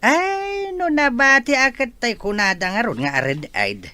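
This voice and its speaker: A man's voice: very high voice, big pitch swings, unhurried, bright, forceful tone, dry recording, full character voice. A man acting a jovial, liquor-loving old grandfather in an audio drama, with an Ilocano accent. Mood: jovial, chuckling.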